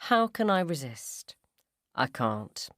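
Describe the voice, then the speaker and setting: A woman's voice: rumbling low, very animated, slow, a bit bright, dry recording, studio quality. A woman reading a first-person short story in a wry, conversational and sardonic way. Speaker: story narrator.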